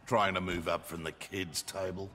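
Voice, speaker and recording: A man's voice: deep voice, little pitch movement, moderate pace, noisy background. A man voicing a cocky, greedy cyborg mercenary with an Australian accent, taunting in slang for a video game. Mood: mocking. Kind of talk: video game fight banter. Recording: long reverb over loud music.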